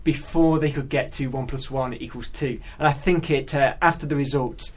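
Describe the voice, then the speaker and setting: A man's voice: medium pitch, steady tone, normal speed. A man who is a guest mathematician, explaining things in casual conversation and thinking aloud hesitantly.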